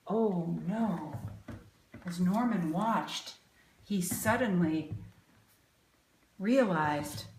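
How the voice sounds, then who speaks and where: A woman's voice: a little high, roomy sound, low-quality recording. A middle-aged woman reading a picture book aloud to children, exclaiming and asking them questions as she goes. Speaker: storybook reader and educator.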